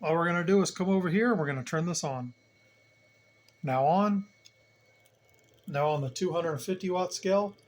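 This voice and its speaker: A man's voice: a little high, moderate pace, long pauses. A man giving an unscripted hands-on equipment tutorial, plain-spoken and explaining step by step, repeating key points. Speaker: hobbyist demonstrator, channel host.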